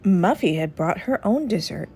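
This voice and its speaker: A woman reading a children's story aloud as an expressive storyteller. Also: fairly low pitch, normal speed, slightly rough. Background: soft music.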